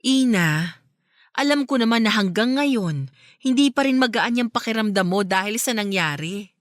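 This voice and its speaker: A woman's voice: on the high side, expressive, normal speed, no room sound, clean studio sound, close to an everyday voice. A woman with a Filipino accent playing a concerned, reasoning older sister and mother in a radio drama. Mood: pleading, consoling.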